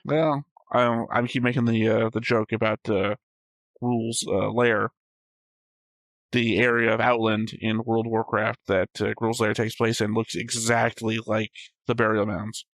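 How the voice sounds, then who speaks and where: Man chatting casually in an even, dry-sounding tone. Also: on the low side, measured pace, dry recording. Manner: rambling, explanatory, swears casually. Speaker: reaction show host. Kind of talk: casual chat, discussing an anime episode.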